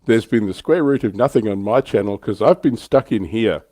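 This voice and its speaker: A man with a very low, dark-toned voice hosts a casual livestream. His delivery is chatty and informal. The sound is dry, with hardly any room on it. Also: moderate pace, no echo. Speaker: livestream host.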